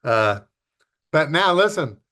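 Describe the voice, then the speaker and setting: A man's voice: fairly high pitch, big pitch swings, slow pace, bright, forceful tone. A man hosting a TV show, chatting casually and introducing acts in a folksy, enthusiastic, exclamatory way. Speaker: TV show host.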